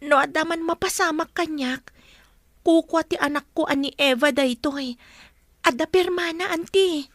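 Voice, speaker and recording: Woman acting earnest, very high-voiced young woman. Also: normal speed, no echo, studio quality, close to an everyday voice. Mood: earnest, hopeful. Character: young captive heiress Eva, pleading, hopeful, dutiful niece. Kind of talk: Ilocano audio drama acting.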